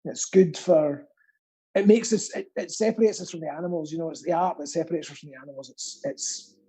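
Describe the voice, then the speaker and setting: A man's voice: fairly high pitch, brisk, dark tone, husky. A man chatting casually as a guest, rambling and earnest, with a self-deprecating streak. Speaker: guest, pantomime actor and theatre stagehand. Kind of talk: casual chat.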